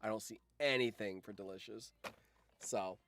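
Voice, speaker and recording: A man's voice: fairly low pitch, moderate pace, fairly bright tone. Casual, sweary guy livestreamer. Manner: rambling, sweary. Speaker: livestream host.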